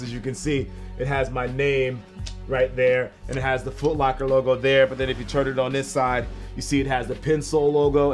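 An upbeat man who has a bit of a cold, chatting casually straight to his viewers as he unboxes sneakers. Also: medium pitch. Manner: upbeat, rambling, talks to viewers directly.

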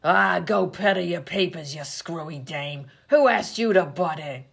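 A woman playing a gruff, bossy 1920s vaudeville comedienne, slangy and sarcastic, in an old-time radio comedy sketch. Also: on the low side, a little slow, exaggerated voice. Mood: scornful. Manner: brash, slangy, sarcastic insults.

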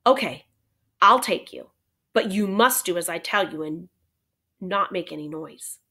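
A woman's voice: on the low side, lively intonation, unhurried, pushed and bright, exaggerated voice. A woman voicing a kind, earnest young schoolboy in a children's storybook, speaking plainly and with emphasis. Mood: firm bargaining.

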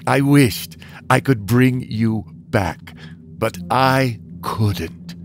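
An actor playing a bitter, self-loathing demolition derby driver, narrating a motion comic with brooding, theatrical, drawn-out phrasing. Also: male voice, medium pitch, a little hiss or hum. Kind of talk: dramatic first-person comic narration.

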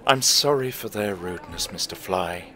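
An actor playing a mysterious, sly old vagrant who sells stories, speaking in a deliberate, theatrical, teasing way in an audio drama. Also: male voice, low-pitched, lively intonation, bright, forceful tone. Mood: sly, ominous.

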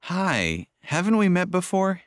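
A man's voice: sits high, very animated, a little slow, no echo, studio quality. A man acting a friendly acquaintance in a scripted textbook dialogue.

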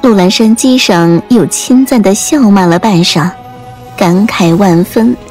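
A woman's voice: mid-range voice, unhurried, darkish tone. A woman reading scripted audiobook narration as the storyteller. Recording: music under the voice.

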